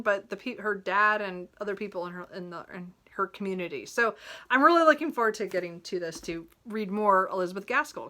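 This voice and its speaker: A woman's voice: mid-range voice, pushed and bright. A woman chatting casually about her reading list as a book vlogger, rambling and giggly, often correcting herself and apologizing. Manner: giggles often while talking.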